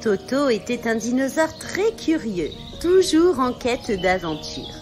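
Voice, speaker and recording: A woman's voice: a little high, big pitch swings, unhurried, a little mellow. A woman narrating a scripted children's story as a storyteller. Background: music.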